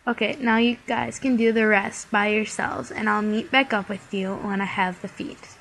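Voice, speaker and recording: A woman's voice: medium pitch, little pitch movement, measured pace, long pauses, a bit bright, a little hiss or hum, low-quality recording. A young woman, stuffy from a cold, chattily talking through a bead craft tutorial step by step. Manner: chatty, many "like" fillers.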